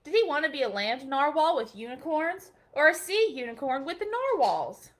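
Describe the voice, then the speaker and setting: Expressive woman reading a picture book. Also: sits high, moderate pace. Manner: gentle, expressive storytelling. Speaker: children's librarian.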